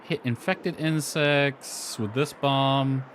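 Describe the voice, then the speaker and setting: A man's voice: mid-range voice, steady tone, slow pace. A man thinking aloud in casual live game commentary, muttering to himself with mild exasperation. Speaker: game streamer. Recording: clearly noisy background.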